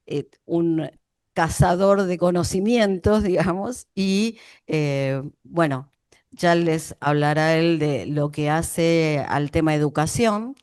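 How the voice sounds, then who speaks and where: A woman's voice: deep voice, a little mellow, clean tone, dry recording, studio quality. A woman coordinating an academic symposium, introducing the speakers in a chatty, informal way with frequent fillers.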